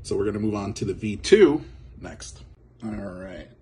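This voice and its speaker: A man giving a casual, unscripted product demo as a reviewer, halting and often repeating or correcting himself. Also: on the low side, lively intonation, warm tone. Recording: clearly noisy background with clicks.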